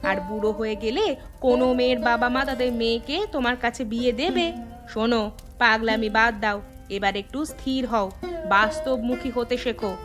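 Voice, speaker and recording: Casting: actress as caring, bossy village wife for a cartoon tale, fairly high voice, insistent, clear music bed. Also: female voice, normal speed, a bit bright, close to an everyday voice. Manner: scolding, insistent, familiar. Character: married village woman, caring, bossy sister-in-law. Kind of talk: Bengali cartoon fairy-tale voice acting.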